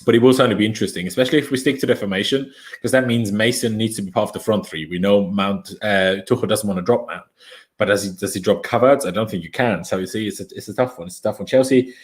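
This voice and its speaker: A man's voice: fairly low pitch, quick pace. A young man from Austria whose first language is German talks through a football match live for his stream. He is chatty and opinionated, calls the play, and reads viewer comments aloud as they arrive. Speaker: fan watchalong streamer. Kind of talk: casual live match commentary and chat reading.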